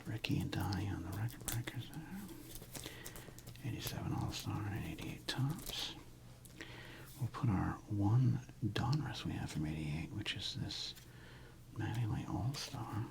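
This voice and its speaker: Whispering, gravelly low-voiced man, ASMR. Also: unhurried. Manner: relaxed, rambling, casual musing to himself. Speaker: host of a sports card ASMR channel. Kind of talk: ASMR, unscripted whispered commentary on a card collection.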